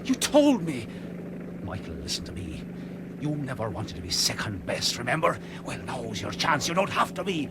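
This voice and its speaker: Radio drama villain: greedy, coaxing tomb robber, very animated delivery over clear background noise. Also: male voice, mid-range voice, normal speed. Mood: urgent, excited. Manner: cajoling, dismissive, manipulative. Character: scheming, persuasive tomb-robbing man, ruthless and greedy.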